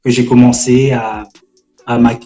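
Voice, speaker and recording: Man in his twenties, mellow, halting. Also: medium pitch, flat delivery, reverberant, faint music behind. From French Guiana. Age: 20s. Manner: halting, many fillers and restarts. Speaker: gospel rap artist guest. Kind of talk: casual live-stream interview.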